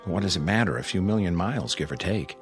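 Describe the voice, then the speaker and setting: Low-voiced anxious test pilot. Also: male voice, lively intonation, quick pace, soft background music. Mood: anxious, grave. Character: anxious test pilot Jerry, desperate to escape. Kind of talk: radio drama acting.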